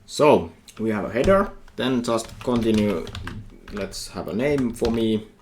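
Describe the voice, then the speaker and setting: A middle-aged man with a Finnish accent, a university lecturer talking through a coding tutorial while he types, thinking aloud in halting phrases. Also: on the low side, lively intonation, darkish tone. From Finland. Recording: faint noise with keyboard typing.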